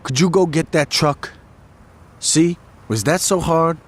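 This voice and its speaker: An actor playing a calm, strategic gang planner who speaks in a dry, sarcastic, deliberate way in video game cutscenes. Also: male voice, fairly high pitch.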